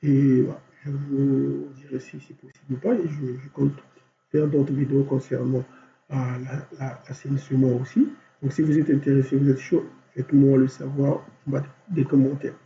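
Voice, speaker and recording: A man with a cold teaching design software step by step, thinking aloud and filling gaps with constant voilàs. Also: mid-range voice, flat delivery, moderate pace, gravelly. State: has a cold. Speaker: software instructor. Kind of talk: screen-recorded software tutorial. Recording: dull lo-fi recording with faint hum.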